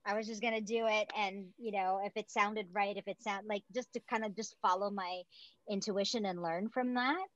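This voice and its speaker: A woman's voice: mid-range voice, steady tone, moderate pace, a bit bright, no echo. A woman in her forties, a theatre director, chatting on a video call from home with many fillers. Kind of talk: casual interview on a video call. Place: home room, indoors. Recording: dull video call audio.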